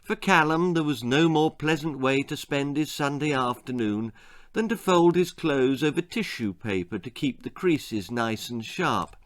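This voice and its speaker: A man with a British accent reading a children's story theatrically, relishing each word with dramatic pauses. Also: medium pitch, fairly bright tone, no echo, clean studio sound. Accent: British English. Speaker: author reading own story.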